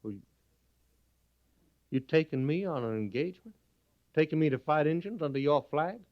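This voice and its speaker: An actor playing a bitter, defiant former prisoner turned cavalry trooper, with a Southern drawl and folksy speech, in 1950s Western radio drama. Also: male voice, a little high, expressive, moderate pace, close to an everyday voice. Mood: agitated. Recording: muffled old radio broadcast recording.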